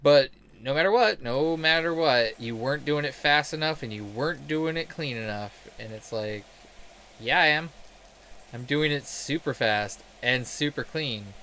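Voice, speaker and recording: A man's voice: mid-range voice, a little slow, bright, forceful tone, a little hiss or hum. A man in a casual, rambling game commentary, sweary, self-deprecating and sarcastic. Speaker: game streamer telling stories while playing.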